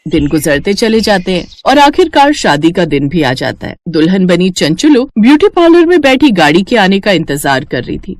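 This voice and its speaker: A woman's voice: lowish voice, big pitch swings, moderate pace, hardly any pauses, a little mellow, dry recording. A woman reading scripted story narration for a cartoon.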